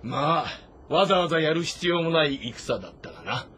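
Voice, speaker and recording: A man playing a proud, self-assured warrior general with blunt, rough speech in a dramatic audio-novel game. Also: fairly high pitch, animated, fairly quick, fairly bright tone, a little hiss or hum. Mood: teasing, confident. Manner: blunt, confident, speaking in rough masculine speech. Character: bold, proud warrior general, gruff and sure of himself.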